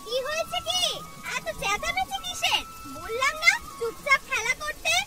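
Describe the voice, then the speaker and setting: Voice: very high-pitched, expressive, moderate pace. A performer voicing bossy, food-loving village women and girls in a cartoon. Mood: scolding. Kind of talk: Bengali cartoon dubbing. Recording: distant voice under clear music.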